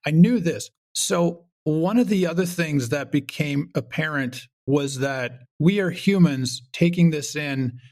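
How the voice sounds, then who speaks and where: A man's voice: on the high side, measured pace, few pauses, darkish tone. A man speaking casually as an interview guest, explaining his writing and acting out imagined reactions as he goes. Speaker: guest, comedy writer and show creator.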